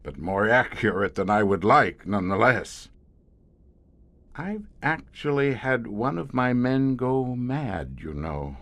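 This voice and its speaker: An actor playing a weary, grim professor who commands a secret police squad, speaking formally and hesitantly in an audio horror drama. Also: male voice, medium pitch, very animated, fairly bright tone, no room sound, heavily acted. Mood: grimly matter-of-fact. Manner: measured, hesitant, formal, darkly matter-of-fact.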